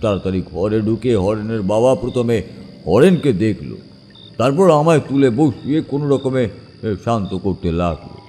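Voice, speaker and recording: An actor playing a haunted man retelling a terrifying ghost memory in a horror audio drama, halting and full of dread, with dramatic pauses. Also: lowish voice, lively intonation, dark tone, big-room sound, soft background music, close to an everyday voice. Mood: shaken, bewildered.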